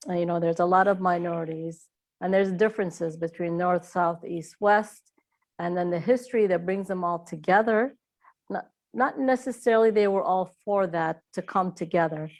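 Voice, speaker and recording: A woman's voice: fairly low pitch, normal speed. A middle-aged woman from Iraq, an author answering interview questions in a reflective way with frequent hesitations.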